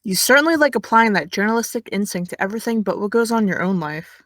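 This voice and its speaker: A young actress playing a blunt, sarcastic high school girl with no filter. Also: animated, fast talker, fairly bright tone, dry recording, studio quality, close to an everyday voice. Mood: prodding. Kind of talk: audio drama.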